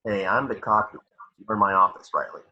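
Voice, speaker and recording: A man's voice: lowish voice, steady tone, normal speed, muffled recording, natural voice. A man playing an assertive, businesslike police detective with clipped questions, in an amateur table read.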